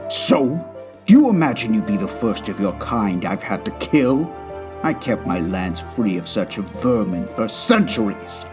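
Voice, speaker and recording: A man performs an aristocratic, murderous swordsman with a fairly low voice. He is cold and arrogant, wording his threats formally. Clearly audible music plays behind this comic-dub villain. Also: big pitch swings, measured pace. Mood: haughty.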